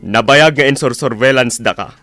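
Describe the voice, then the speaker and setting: A man's voice: medium pitch, normal speed, pushed and bright, soft background music, natural voice. Man cast as radio drama cop, Filipino accent, mid-range voice, reproachful authority. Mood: stern, reproachful. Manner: firm, reproachful. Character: stern, lecturing male police officer.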